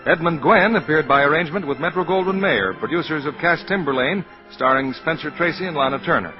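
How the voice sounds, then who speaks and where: A man's voice: a little high, fast talker, soft background music. A man reading as a 1940s radio announcer, formal and projected. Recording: dull 1940s radio broadcast recording.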